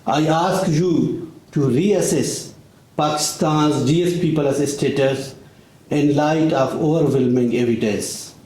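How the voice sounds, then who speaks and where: A man giving a formal prepared speech at a conference, measured and deliberate, pausing often. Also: a little high, darkish tone. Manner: measured, deliberate delivery with long pauses. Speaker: Baloch activist speaker. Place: conference room in parliament. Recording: echoey conference room.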